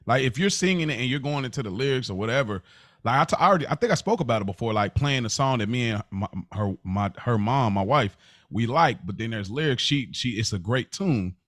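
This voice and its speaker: A man with a mid-pitched voice and lively, wide-moving intonation talks casually as a podcast co-host. His speech is informal and slangy, circling back to rephrase as he explains, in a dry recording with little room sound. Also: brisk, no echo. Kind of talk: casual chat.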